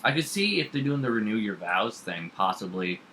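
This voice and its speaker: A man chatting casually, animated and opinionated, nitpicking in quick bursts. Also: fairly low pitch, a little hiss or hum. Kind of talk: casual chat.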